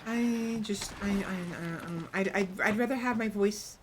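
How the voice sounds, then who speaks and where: A woman with a gravelly, fry-laden voice talks softly and hesitantly into a close microphone while she streams. She circles back and restarts her sentences often. A faint hiss sits under her voice. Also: medium pitch, measured pace. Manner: soft, hesitant, self-reflective, many restarts. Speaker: VTuber streamer. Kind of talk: casual chat while livestreaming a game. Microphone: close desk mic.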